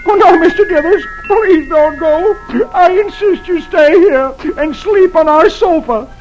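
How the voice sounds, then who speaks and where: A man's voice: very high-pitched, very animated, normal speed, dull audio, music in the background, voice pushed up. A man voicing a flustered, kind-hearted young husband who exclaims in a raised voice.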